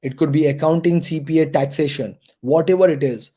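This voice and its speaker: Mellow-voiced man coaching students. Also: fairly high pitch, a little fast. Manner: coaching, repeats points, checks in with "okay?". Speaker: mentor presenting a career workshop. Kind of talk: online presentation to students.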